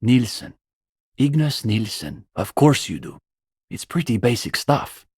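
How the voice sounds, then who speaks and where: A man's voice: medium pitch, sing-song, measured pace, a little mellow, husky, dry recording, studio quality. An actor playing an earnest young male student communist in a video game, intellectual, opinionated and dryly pedantic. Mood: pedantic, aggrieved. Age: young adult. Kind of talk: video game dialogue voice acting.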